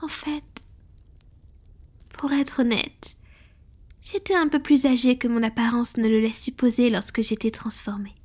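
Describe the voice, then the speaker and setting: A woman plays a proud, ancient female vampire who is tender with a lover. The voice is slightly high and very breathy, mostly whispered. The delivery is slow and intimate, in the manner of a romantic ASMR roleplay. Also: steady tone, darkish tone. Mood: wistful, confiding. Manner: slow, intimate, affectionate murmuring.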